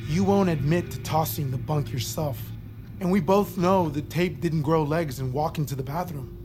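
An actor playing a military psychiatrist in an audio drama, calm and probing, with a patient, clinical manner. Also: male voice, on the high side, normal speed, music in the background, natural voice. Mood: sceptical. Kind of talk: naturalistic audio drama acting.

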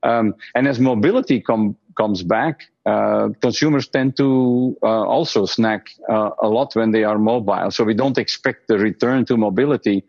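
Exec guy with a lowish voice, measured, stops and restarts, dull muffled audio. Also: creaky, a little mellow. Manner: measured, with frequent restarts and repeated words. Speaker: company executive, guest. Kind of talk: interview answers.

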